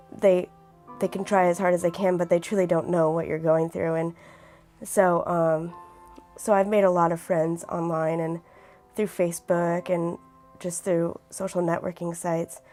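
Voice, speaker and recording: An eighteen-year-old woman with a raspy voice who keeps clearing her throat, speaking earnestly and reflectively in a documentary interview. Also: on the low side, mellow. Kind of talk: personal documentary testimony.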